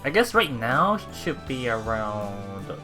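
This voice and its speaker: A man hosting a let's play, reading game text aloud and commenting casually in a halting, think-aloud way. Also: medium pitch, very animated. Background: game music.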